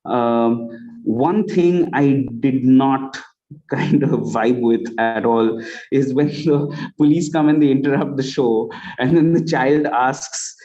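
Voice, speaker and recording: Mellow Indian man giving critique, muffled audio. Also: mid-range voice, slow. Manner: conversational, acts out example lines. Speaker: judge giving feedback on student audio plays. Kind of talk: spoken critique on an online event. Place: online video call. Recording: dull, lo-fi online call audio.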